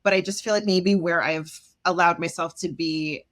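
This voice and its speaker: A woman from Jamaica, a self-esteem expert, talking as a podcast guest in an expressive way, acting out quoted voices and laughing often. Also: lowish voice, moderate pace. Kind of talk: animated podcast conversation.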